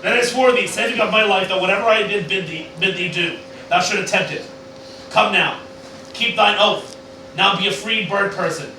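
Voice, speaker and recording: Man acting despairing old scientist, Shakespearean radio parody, halting declamation, very echoey, distant noisy mic. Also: sits high. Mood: solemn, commanding. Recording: very echoey, distant microphone, loud noise.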